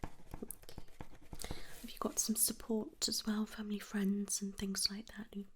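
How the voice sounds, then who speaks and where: A woman's voice: mid-range voice, monotone, unhurried, fairly bright tone. A woman whispering soothingly and reassuringly as a nurse in a one-sided ASMR roleplay.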